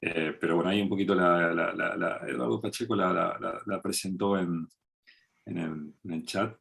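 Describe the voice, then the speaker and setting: A man moderating an online academic interview on a video call, speaking in a loose, halting way while relaying questions from the chat. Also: sits low, steady tone, gravelly, fairly bright tone, husky.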